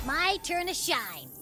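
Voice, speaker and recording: A woman's voice: extremely high pitch, full character voice. A woman voicing a small, cheeky, gluttonous spirit companion in a video game, playful and boastful.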